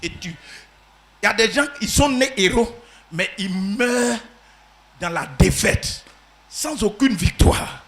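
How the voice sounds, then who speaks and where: A man preaching a sermon as pastor, swinging from shouted peaks to quiet asides. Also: high-pitched, animated, measured pace, long pauses.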